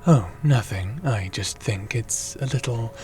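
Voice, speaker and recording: A man playing a gentle, formal, curious fantasy companion in an ASMR audio roleplay, with a calm, measured and politely wry manner. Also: mid-range voice, natural voice.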